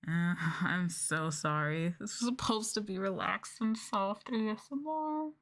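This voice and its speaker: A young adult woman chatting casually on a livestream meant as soft ASMR, in a conversational, apologetic venting way. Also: medium pitch, unhurried. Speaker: streamer, ASMR VTuber.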